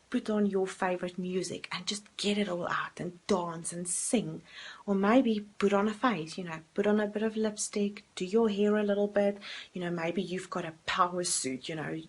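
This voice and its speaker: A woman's voice: medium pitch, husky. A woman, a coach talking straight to camera in a self-help video, earnest and direct, putting questions to herself and answering them. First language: Afrikaans.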